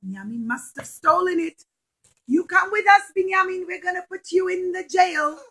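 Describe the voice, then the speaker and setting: A woman voicing an excitable, accusing soldier with exclamatory, stretched words in a children's Bible story. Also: very high voice, normal speed, pitched above the performer's own voice.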